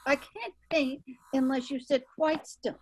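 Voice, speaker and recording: Woman playing a high-voiced, stiff portrait painter. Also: a little slow. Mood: polite, curt. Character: polite, stiff society portrait painter, a man.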